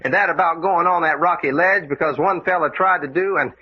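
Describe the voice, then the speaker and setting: An actor playing a folksy, scrappy American adventurer with a drawling Southern accent in an old-time radio drama. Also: male voice, on the high side, brisk, close to an everyday voice. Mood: earnest, fearful. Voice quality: drawling country speech. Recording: dull vintage radio recording, faint noise.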